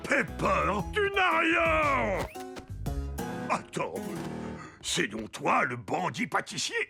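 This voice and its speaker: A man voicing a sweet, forgiving pastry chef in an animated cartoon, friendly and full of exclamations. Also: high-pitched, takes it slowly, vocal fry, music in the background, exaggerated voice. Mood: astonished, forgiving.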